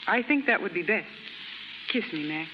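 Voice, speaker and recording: An actress playing a poised, genteel and coolly sweet society woman in a 1930s radio drama, refined and politely measured. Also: lowish voice, expressive, noisy background, natural voice. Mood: tender. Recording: muffled 1930s radio recording with music.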